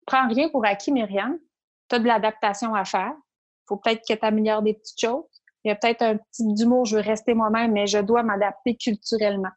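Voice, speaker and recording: Woman, Québécois accent, entrepreneur guest, animated, storytelling, interview chat. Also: mid-range voice, brisk. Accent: Québécois French. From Quebec. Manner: lively, animated storytelling, quotes her own inner voice. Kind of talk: interview conversation.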